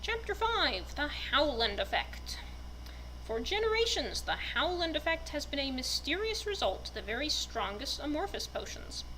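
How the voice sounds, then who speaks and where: A woman with a high, bright voice narrates as an enthusiastic fan. Her delivery is very animated and expressive, like someone reading aloud for fellow fans. A faint noise sits behind her. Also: moderate pace, poor audio. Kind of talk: dramatic reading and casual fan commentary.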